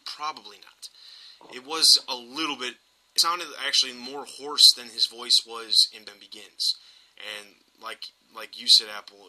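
Bright, forceful male podcast host. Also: mid-range voice, rough recording. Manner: emphatic, opinionated. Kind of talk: casual film discussion.

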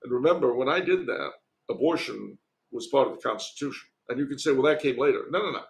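Male podcast guest, American, gravelly creak, wry and emphatic opinionated talk over a remote call. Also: mid-range voice, fast. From United States. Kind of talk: conversational opinion and commentary. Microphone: remote internet call.

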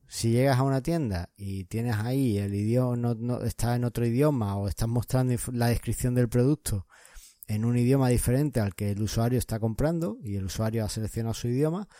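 A man's voice: medium pitch, mellow, dry recording, studio quality. A man from co-hosting a podcast, explaining and advising listeners in casual chat. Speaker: podcast co-host.

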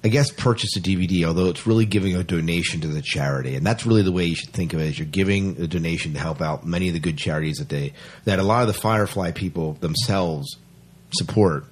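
Male podcast host, low husky register, conversational and emphatic, informal review chat. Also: quick pace.

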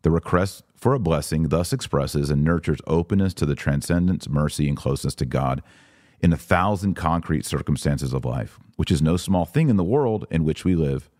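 A man's voice: extremely low pitch, animated, moderate pace, darkish tone, dry recording, studio quality. A man hosting a podcast of religious commentary, reading aloud and reacting in an emphatic, informal, argumentative way.

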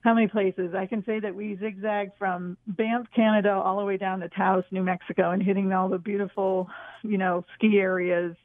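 A woman's voice: medium pitch, level tone, moderate pace, no echo. A woman in her sixties from northern New York, telling personal stories casually as an interview guest, heard over a phone line. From northern New York, USA. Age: 60s. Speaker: guest, author and former park ranger. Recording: tinny, narrow phone line.

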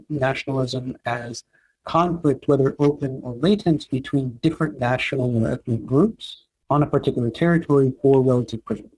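Husky man explaining history at length. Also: mid-range voice, a little mellow, no echo, low-quality recording. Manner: measured, explanatory, frequent "right?" tags. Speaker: historian guest. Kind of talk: academic interview answer.

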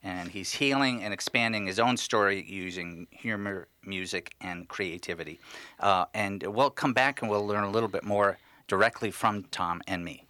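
A man co-hosting a radio talk show, with a low voice and a forceful, bright tone. He speaks haltingly with frequent restarts, and the sound is dry and close. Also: no room sound. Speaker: radio co-host. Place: radio studio.

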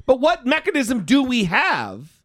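A woman's voice: sits high, wildly swooping pitch, unhurried, a bit bright, no room sound, clean studio sound. A woman co-hosting a podcast, giving emphatic commentary and repeating phrases for stress.

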